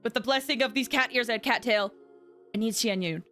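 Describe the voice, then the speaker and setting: A woman game streamer chatting casually with her viewers in an excitable, chatty manner. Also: on the high side, brisk, faint music behind. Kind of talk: casual livestream chat with viewers.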